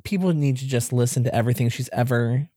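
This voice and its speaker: A woman's voice: extremely low pitch, quick pace, dark tone, no room sound, clean studio sound. A woman co-hosting a podcast in casual chat, rambling and effusive. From from the US East Coast. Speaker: podcast co-host.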